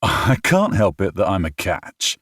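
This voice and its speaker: A man voicing a calm, wry British single dad, with a light British accent and a slightly raised voice. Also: deep voice, unhurried, dry recording, studio quality, pitched above the performer's own voice, full character voice.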